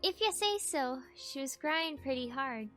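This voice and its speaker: A woman's voice: high-pitched, lively intonation, measured pace, bright, forceful tone, soft background music, voice pushed up, exaggerated voice. A woman voicing a drunk, sulky fifteen-year-old girl with slurred, defiant speech in a fan fiction reading. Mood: drunk, offhand.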